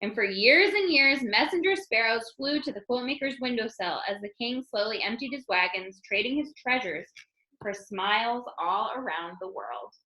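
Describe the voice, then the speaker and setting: A woman reading a children's story aloud as an expressive narrator. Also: fairly high pitch, normal speed. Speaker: storybook reader. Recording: roomy, echoing room sound.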